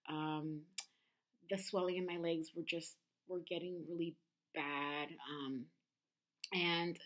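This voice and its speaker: A woman in her thirties talks candidly to her camera at home, pausing often with ums and restarting sentences. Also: on the low side, fairly even intonation, fairly bright tone. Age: 30s. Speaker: vlogger. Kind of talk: personal confessional vlog.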